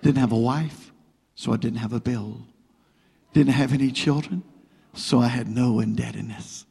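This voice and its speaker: A middle-aged man preaching a sermon to a congregation, repeating phrases and building to loud, rising exhortation. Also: mid-range voice, steady tone, moderate pace, creaky, slightly rough. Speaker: guest preacher. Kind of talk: pentecostal sermon with prayer. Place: church sanctuary. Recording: long reverb of a church hall.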